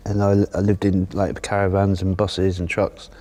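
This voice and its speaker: A man's voice: low voice, completely flat delivery, moderate pace, warm tone. A middle-aged man with a British accent, speaking casually and reflectively as he answers interview questions. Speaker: housing tenant being interviewed.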